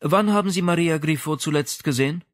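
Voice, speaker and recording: A man reads the part of a persistent, businesslike policewoman in a crime audiobook. The questions come briskly, in a dry, close recording. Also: fairly high pitch, dry recording, pitched above the performer's own voice, natural voice. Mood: brisk, insistent.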